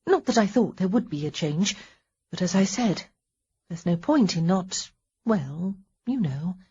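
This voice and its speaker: Woman doing a breathy, flustered young woman. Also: on the low side, lively intonation, measured pace, mellow, highs cut off, heavily acted. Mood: nervous cheer. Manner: fussing, nervous chatter with a tremble. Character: tender, flustered young woman, anxious and hopeful. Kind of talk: audiobook character voice.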